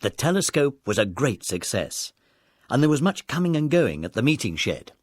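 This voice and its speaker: Lively male storyteller, dry sound. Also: mid-range voice, normal speed, no room sound. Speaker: narrator. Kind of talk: scripted children's audiobook narration.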